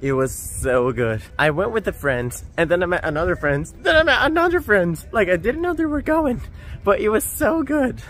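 A hoarse young man gushing to his phone camera while walking city streets at night after a concert. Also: sits high, moderate pace. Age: young adult. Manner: gushing, excitable. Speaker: vlogger. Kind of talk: casual vlog talk to camera. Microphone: phone held at arm's length.

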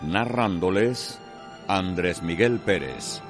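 Casting: deep Cuban man, theatrical scripted narrator for radio serial, music under the voice. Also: animated. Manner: measured, literary, dramatic. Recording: music behind the voice.

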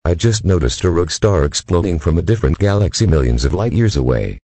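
A man with a husky voice playing an observer reporting a cosmic disaster in stilted amateur-drama dialogue. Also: low voice, animated, fast talker, dark tone, dry recording, exaggerated voice. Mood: casual.

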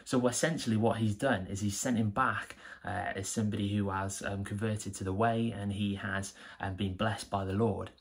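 A man's voice: lowish voice, steady tone, moderate pace, creaky, hardly any pauses, breathy edge. A young man gives an earnest, enthusiastic devotional talk to camera in a small plain room. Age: young adult. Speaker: church member giving a devotional.

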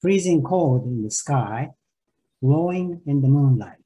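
An older man reciting poetry in a deliberate, measured way over a video call. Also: medium pitch, lively intonation, mellow, rough recording. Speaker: poet reading own poem.

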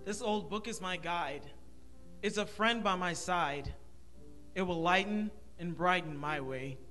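A young male student from the Bahamas speaks with careful clarity. His voice is high and bright, with a forward edge. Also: unhurried, soft background music. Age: young adult. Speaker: college student singer. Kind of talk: self-introduction and poem recitation.

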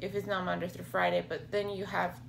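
A woman in her thirties talking to the camera in a casual home vlog, slightly hoarse and raspy, with many fillers and restarts. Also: fairly low pitch, level tone, a little fast, a little hiss or hum, low-quality recording. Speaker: health vlogger. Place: small home office with bookshelves, indoors. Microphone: webcam or laptop camera at desk.

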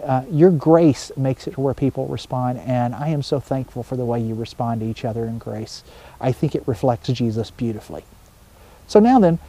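A middle-aged man speaking as a pastor, giving an informal sermon to camera in a conversational way with pauses mid-thought. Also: medium pitch, mellow, no room sound. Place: outdoors in a wooded backyard.

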